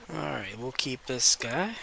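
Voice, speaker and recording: Congested man chatting over gameplay, bright tone. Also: mid-range voice, a little slow, vocal fry, slight background noise. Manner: relaxed, chatty, talks to viewers as guys. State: congested with a cold. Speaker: gaming video host. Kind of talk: casual gameplay commentary.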